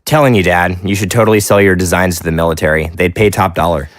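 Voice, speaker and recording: An actor playing a bored, restless teenage son in an audio drama, talking casually and pushing for company. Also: male voice, low-pitched, steady tone, fast talker, no echo, studio quality, natural voice.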